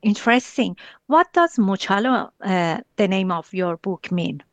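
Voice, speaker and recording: A woman's voice: mid-range voice, animated, unhurried, no room sound. A woman hosting a podcast, presenting her guest and questions in a prepared interviewer's style. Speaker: podcast host.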